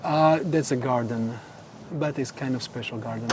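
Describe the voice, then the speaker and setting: A man whose first language is Polish, a monk explaining his monastery grounds on a tour in slow, earnest English. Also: medium pitch, noisy background. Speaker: Carmelite monk giving a tour. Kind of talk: casual guided tour conversation.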